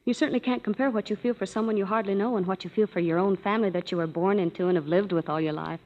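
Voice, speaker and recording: A woman's voice: medium pitch, racing, dull audio, lightly acted. An actress playing a teasing, chatty young woman in a 1930s radio drama. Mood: playful. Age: young adult. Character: playful, chatty youngest-type sister. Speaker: sister Anne.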